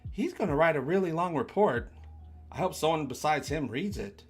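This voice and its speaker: A man's voice: a little high, animated, normal speed, slightly rough, soft background music. A man hosting a read-along, tossing in goofy, playful asides. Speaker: host.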